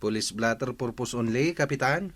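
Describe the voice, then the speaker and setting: Man acting a bright-voiced wheedling villager. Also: medium pitch, moderate pace, natural voice. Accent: Ilocano. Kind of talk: comedy radio drama acting.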